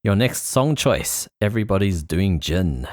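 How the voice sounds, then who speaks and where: A man's voice: sits low, very animated, measured pace, darkish tone. A man hosting a podcast in a casual, chatty style. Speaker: podcast host. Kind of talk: casual chat.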